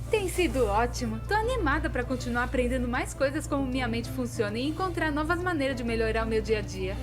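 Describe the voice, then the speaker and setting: Woman dubbing a earnest twenties brazilian cartoon girl narrator, high voice, super animated delivery, music under it. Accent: Brazilian Portuguese. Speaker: fan dub voice actor. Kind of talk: scripted animated storytime narration.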